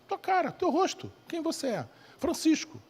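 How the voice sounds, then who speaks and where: A man with a Rio de Janeiro Brazilian accent and a husky voice preaches an evangelical sermon emphatically, repeating key phrases and asking rhetorical questions. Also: very high voice, wildly swooping pitch, normal speed. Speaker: preacher, bishop. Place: church service in a large hall. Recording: reverberant hall sound.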